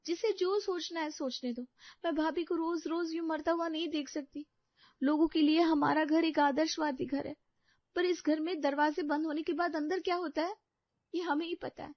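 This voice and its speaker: A woman's voice: sits high, steady tone, normal speed, no room sound, pitched above the performer's own voice, lightly acted. Woman doing a fired-up protective young sister-in-law, insistent, dull dry audio. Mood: fervent. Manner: insistent, fervent.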